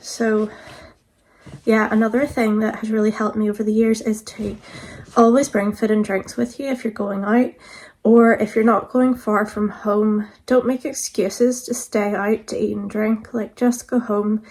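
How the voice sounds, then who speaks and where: A woman in her thirties, a bit croaky from being sick, chatting casually to camera as a vlogger with lots of "like" fillers. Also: mid-range voice, little pitch movement, a little slow, darkish tone, low-quality recording. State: croaky, recovering from illness.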